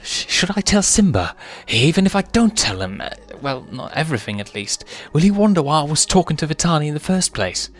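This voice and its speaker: Man voicing an anguished young lion, high-strung and pleading. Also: very animated, fairly quick, hardly any pauses. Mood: anxious. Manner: stammering, questioning, pleading. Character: anguished young male lion, earnest and conflicted.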